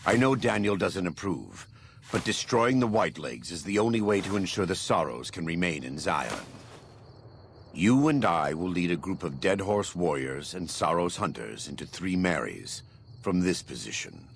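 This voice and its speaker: An actor playing a stern, vengeful warrior preacher in a video game, with solemn, deliberate biblical delivery. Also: male voice, low voice, sing-song, gravelly, a little hiss or hum, full character voice. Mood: grave, determined.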